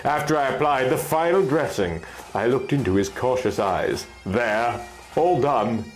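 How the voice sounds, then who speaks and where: A man reading scripted fantasy fiction as an expressive story narrator. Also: medium pitch, normal speed, faint music behind.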